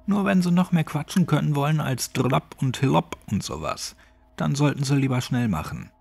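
A man's voice: fairly high pitch, lively intonation, moderate pace, gravelly, darkish tone, husky, soft background music, pitched above the performer's own voice, full character voice. A man voicing an elderly, quirky retired gardener in a horror audiobook, chatty, colloquial and grimly cheerful. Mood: blunt, urgent. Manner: folksy, chatty, colloquial rambling.

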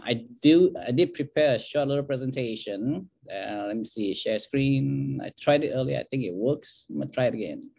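A middle-aged man from Malaysia talks through slides on a video call from his home office. His voice sits at an ordinary middle pitch. He chats in a loose, joking way and laughs often. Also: mellow. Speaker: guest speaker, university dean. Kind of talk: casual, self-deprecating presentation.

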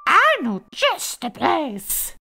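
A woman's voice: high voice, wildly swooping pitch, extremely slow, gravelly, pitched above the performer's own voice, heavily acted. A woman voicing a screechy, chatty blue jay in a children's story, with music in the recording. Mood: bossy, screechy.

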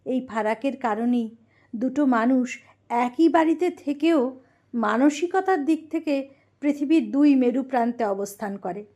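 A woman's voice: high voice, unhurried, warm tone. A woman reading a scripted, dramatic introduction as the announcer of an audio drama.

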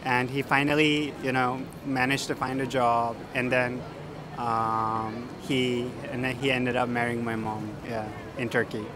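A man in his twenties, interviewed on a street at night, telling a story in a relaxed way with many fillers. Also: medium pitch, unhurried. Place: outdoors on a busy city street at night. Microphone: handheld mic. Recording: clear street noise.

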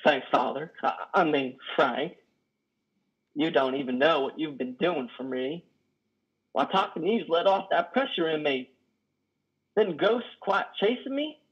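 A man acts out a lonely, wounded trucker in a stage drama, higher-voiced and husky. He talks in halting, folksy, simple sentences, weighed down by guilt, over a muffled video call. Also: lively intonation. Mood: haunted, confessing. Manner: halting, stammering, folksy ungrammatical speech. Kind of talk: dramatic play reading. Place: indoor room on a video call. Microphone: headset.

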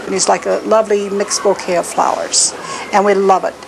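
Woman, mid pitch, gravelly, upbeat interview on a noisy street. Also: flat delivery, fairly quick. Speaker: community speaker. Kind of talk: interview talk.

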